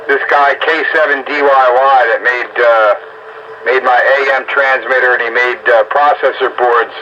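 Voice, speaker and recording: A man's voice: medium pitch, steady tone, moderate pace. A man talks casually and bluntly over two-way radio as an amateur operator. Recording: muffled two-way radio, faint noise.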